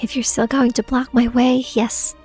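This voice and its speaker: A woman playing a stubborn, determined researcher in a fan-dubbed game story, terse and resolute with a husky, creaky voice. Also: a little high, flat delivery, moderate pace, a little mellow. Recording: faint background music.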